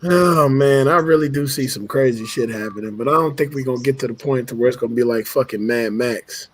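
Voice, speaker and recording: A man's voice: medium pitch, a little fast. A man in a casual group chat, laid-back and joking, laughing often.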